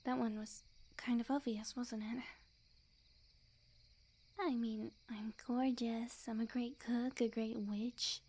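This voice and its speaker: A woman voicing a sweet, obsessively lovestruck, smug young witch in an intimate ASMR roleplay, speaking in a soft breathy near-whisper with quiet menace. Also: a little high, fairly even intonation, slow pace, long pauses. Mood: mildly flustered, vain. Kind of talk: ASMR roleplay, intimate scripted monologue to the listener.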